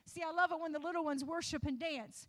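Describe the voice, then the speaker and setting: A woman pastor preaching a sermon in a repetitive, rising cadence that builds toward shouts. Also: high voice, fairly even intonation, fast.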